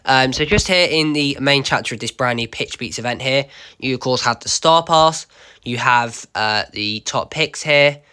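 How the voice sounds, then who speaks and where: Croaky man chatting through a game. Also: medium pitch, normal speed, pushed and bright. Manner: chatty, many ums, addresses viewers as guys. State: unwell, slightly croaky. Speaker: gaming YouTuber. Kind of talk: casual game walkthrough narration.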